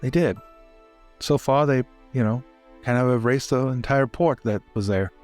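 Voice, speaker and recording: A man's voice: medium pitch, normal speed, a little mellow, natural voice. A man playing a respectful, world-weary navy sailor in a casual, hesitant way for a tabletop game. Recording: faint music behind.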